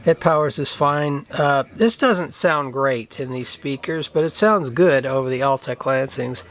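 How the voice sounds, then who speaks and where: A man from the United States hosts a casual show-and-tell in an ordinary mid-pitched voice. He rambles along and thinks out loud as he talks. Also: a little slow. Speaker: video host.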